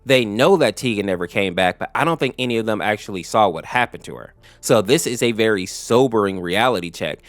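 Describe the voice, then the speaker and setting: A man's voice: lowish voice, lively intonation, a little fast, few pauses, no room sound. A man narrating a story recap in a conversational, explanatory way, speaking directly to viewers. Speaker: YouTube lore explainer host.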